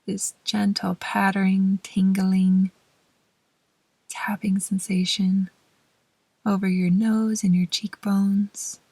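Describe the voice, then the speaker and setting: A woman gently leading a guided meditation in a soft, breathy voice that often trails toward a whisper, with measured pauses between short phrases. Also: mid-range voice, flat delivery, dry recording, rough recording. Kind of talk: slow, soothing guided meditation narration.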